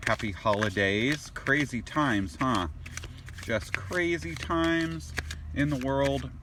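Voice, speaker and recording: A man's voice: mid-range voice, takes it slowly, noisy background. A man giving a casual tarot reading to his viewers. He rambles, repeats and restarts words, and exclaims at the cards as he turns them over. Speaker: tarot card reader.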